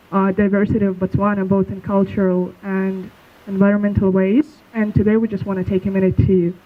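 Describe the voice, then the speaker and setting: A young man from Belarus presenting a school project in a casual, slightly halting way. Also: sits high. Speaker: student presenter. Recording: tinny phone-like sound.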